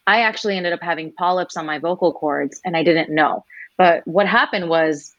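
Low-voiced woman telling her story. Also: moderate pace, no echo, lo-fi sound. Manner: animated, quoting others in lively voices. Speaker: singer guest. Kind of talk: conversational storytelling interview.

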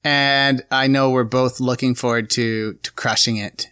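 Man, bright voice, upbeat podcast co-host, dry close audio. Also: mid-range voice, steady tone, unhurried, clear, resonant tone, dry recording. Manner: upbeat, announcing. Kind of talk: casual podcast chat.

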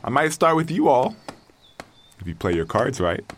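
Proud stranded male survivor played by an actor, haughty and halting, highly animated delivery for audio fiction, noisy background. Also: close to an everyday voice. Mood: smug. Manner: haughty, halting, talks to unheard companions. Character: proud stranded male castaway, bossy, grudging, talking to creatures. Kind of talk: dramatic audio fiction monologue, survival log.

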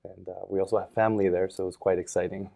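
A man in his thirties, a podcast guest, talking in a thoughtful, relaxed way with many fillers and restarts. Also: low-pitched, rapid-fire, creaky. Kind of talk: casual interview.

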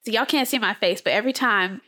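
Woman, podcast host, bright tone, playful, laughs a lot, says y'all. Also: medium pitch, fast. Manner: playful, laughs often, addresses listeners as y'all. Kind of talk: casual podcast hosting. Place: US state of Georgia.

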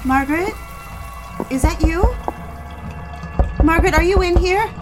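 An actress playing a frantic, devoted young secretary in an audio drama, pleading in halting, breathless phrases. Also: female voice, very high voice, background noise. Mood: anxious, searching.

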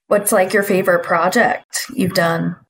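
A woman's voice: on the low side, steady tone, normal speed, rough recording. A young woman hosts a podcast, reading a scripted intro and then asking friendly, upbeat interview questions. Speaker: podcast host.

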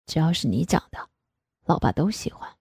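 An indulgent, coaxing middle-aged father speaks in a lowered, husky voice with a dark, mellow tone. A woman acts him out in a storybook reading, recorded close and dry. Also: very animated, moderate pace, no room sound, heavily acted.

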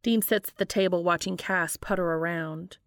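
Female narrator, lower register with noticeable vocal fry, reading fiction in a close, dry sound. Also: fairly even intonation, moderate pace, dry recording. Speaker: audiobook-style reader of fan fiction. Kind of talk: dramatic scripted narration of a story.

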